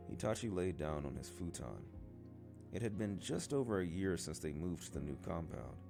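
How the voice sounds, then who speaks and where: Male amateur narrator for light novel, very low gravelly voice, lively intonation. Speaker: amateur audiobook reader. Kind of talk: light novel read-aloud. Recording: soft guitar music behind. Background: plucked guitar music.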